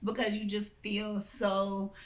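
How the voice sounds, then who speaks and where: A young woman, a singer and guest, talking in a casual interview in a slightly raspy voice, speaking earnestly and reflectively with many fillers. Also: medium pitch, completely flat delivery, slow pace. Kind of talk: casual chat.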